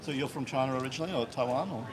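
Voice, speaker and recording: A young man chats quickly and easily outdoors, with crowd noise all around him. He is a university student, filling his talk with "like," and his pitch sits mid-range. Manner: chatty, fast, uses "like" a lot. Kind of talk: casual street conversation. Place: busy outdoor street.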